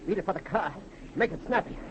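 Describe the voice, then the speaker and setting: An American actor playing a ruthless gangster leader in a 1930s radio drama, barking curt, slangy orders. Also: male voice, sits high, animated, fast. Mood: urgent. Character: ruthless, snarling gangster holdup leader Duke. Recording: very noisy, dull 1930s radio recording.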